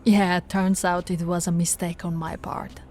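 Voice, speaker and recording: Woman acting a slow-talking, flirty, soft policewoman. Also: on the low side, level tone, faint music behind, natural voice. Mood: upbeat, explaining. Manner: soft, coaxing, conversational. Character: flirty, patient, teasing policewoman reassuring a suspect. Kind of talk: intimate ASMR roleplay acting.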